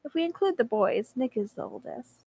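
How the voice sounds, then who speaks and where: A woman's voice: on the high side, sing-song, fairly quick, mellow, husky. Teasing, giggly woman in her twenties. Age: 20s. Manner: teasing, giggly, asks the questions. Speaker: podcast co-host. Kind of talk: casual chat.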